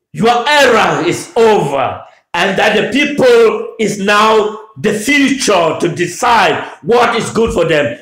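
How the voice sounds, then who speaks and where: A man from Anglophone Cameroon giving a fiery political address, shouting and repeating his demands in a declamatory way. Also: high-pitched. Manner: shouting, repetitive declamatory oratory. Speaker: political activist making a public address. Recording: echoey room.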